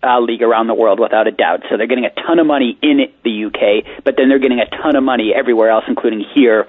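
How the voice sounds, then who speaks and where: Fast-talking man on a phone line. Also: medium pitch, dry recording. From United States. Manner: fast, chatty, anecdotal. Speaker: sports media consultant guest. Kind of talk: radio talk interview.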